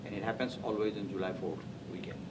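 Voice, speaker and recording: A man from Assam, speaking natively, recalls his writing in a halting interview manner, often restarting words. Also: fairly low pitch, fairly even intonation. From Assam, India. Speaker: interviewee, author. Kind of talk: reminiscing oral history interview. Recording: distant mic, loud background noise.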